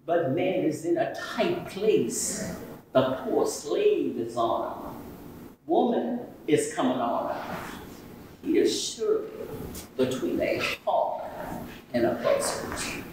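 Picture an impassioned elderly woman orator, read by a woman onstage, her voice rising and falling in wide, lively swings. She speaks slowly, with a preaching cadence. The sound is heard from far off in a very echoey hall. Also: low-pitched, noisy background, full character voice. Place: museum hall, indoors. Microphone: far camera. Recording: echoey room, far from camera.

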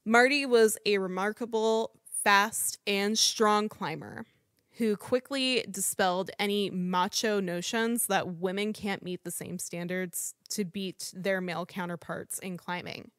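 A woman's voice: mid-range voice, steady tone, unhurried, a bit bright, clean tone, no room sound, clean studio sound. A woman hosting a podcast, reading a prepared true crime script and apologizing for how she says foreign names. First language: English. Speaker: podcast host.

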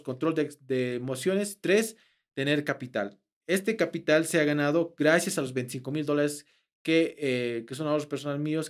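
A young man, explaining trading to camera in a conversational way with frequent fillers and restarts, recorded in a small room. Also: on the high side. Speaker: trading instructor.

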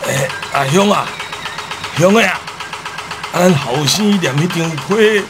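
An actor playing a fretful old rural husband in a radio drama, hesitant and sighing, with a Taiwanese accent. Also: male voice, on the high side, measured pace, noisy background. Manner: hesitant, sighing, full of interjections.

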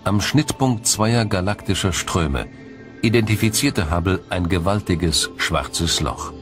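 A very low male voice narrates a science documentary from a script. The delivery is measured and dramatic, with faint music underneath.

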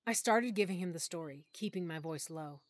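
A woman narrating a full-cast audiobook in first person, with expressive, clear storytelling. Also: on the low side, moderate pace, dry recording. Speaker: narrator and lead character.

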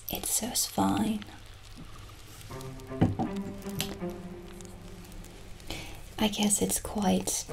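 Casting: woman to play gentle witch potion-seller for ASMR roleplay; hushed, whispery, creaky low-volume delivery at a very slow pace. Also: background noise. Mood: hushed, welcoming. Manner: hushed, unhurried, often dropping into whispers. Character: gentle, chatty witch shopkeeper selling potions. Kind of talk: improvised ASMR roleplay, soft-spoken and whispered. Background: original music.

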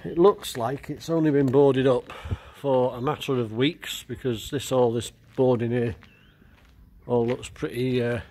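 A man giving casual walkaround commentary as a vlogger, halting and thinking aloud as he goes. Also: mid-range voice, steady tone, mellow. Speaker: vlogger exploring an abandoned building. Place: outdoors, walking around a building. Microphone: handheld camera mic. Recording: outdoor ambient noise.

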